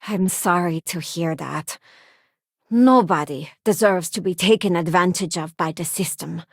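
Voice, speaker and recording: A woman's voice: lowish voice, unhurried, no room sound. Game companion: young working-class female spacefarer, principled, frank, conversational. Dry recording. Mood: earnest. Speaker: video game companion character. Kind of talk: video game voice acting, short character lines.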